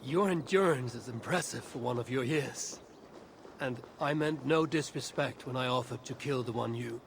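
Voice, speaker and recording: A man's voice: medium pitch, moderate pace, creaky, a little hiss or hum, natural voice. An actor playing a bold, self-assured male Viking warrior in a video game, speaking tersely in formal, archaic phrases. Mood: firm, defiant. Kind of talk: dramatic video game dialogue.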